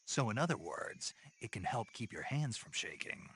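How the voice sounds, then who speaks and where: An actor playing a gruff, deadpan soldier in a video game, with a rough, gravelly voice and terse delivery. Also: male voice, mid-range voice, moderate pace. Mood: curious, deadpan. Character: gruff deadpan male soldier on a covert mission.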